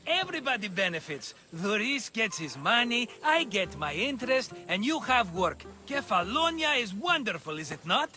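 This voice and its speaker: A wheedling game merchant with a very high, piercingly bright voice. He is cheerful and scheming, pouring on flattering sales patter with swooping intonation. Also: normal speed, few pauses, soft background music, full character voice. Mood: wheedling, upbeat. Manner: theatrical, ingratiating salesman patter. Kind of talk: video game character acting.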